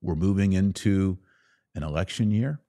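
A man with an American accent and a fairly low voice speaks as a podcast host. He gives conversational news commentary, punching short phrases for emphasis. The sound is dry and close, like a studio with little room reflection. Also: normal speed, mellow, dry recording. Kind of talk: live-streamed opinion commentary on news.

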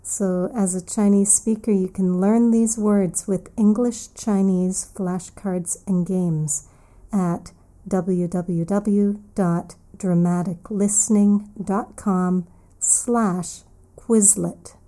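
A woman hosting an English lesson, recapping a story and then explaining vocabulary words slowly and carefully, repeating each key word. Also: mid-range voice, fairly even intonation, dark tone. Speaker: English teacher and podcast host.

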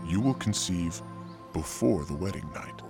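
An actor playing an angel messenger in a Bible drama, solemn, measured and reassuring. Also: male voice, sits low, lively intonation, soft background music. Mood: solemn, assured.

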